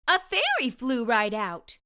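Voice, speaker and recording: A woman's voice: a little high, huge pitch swings, slow pace, pushed and bright. A woman hosting a children's read-aloud, narrating a storybook expressively with careful delivery.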